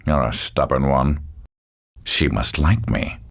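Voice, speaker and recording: A man voicing a cold, arrogant superhuman villain for a video game, speaking with smug, deliberate menace and theatrical mockery. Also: extremely low pitch, gravelly, a bit bright, exaggerated voice. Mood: mocking. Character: cold, arrogant male villain, superhuman schemer with sinister calm.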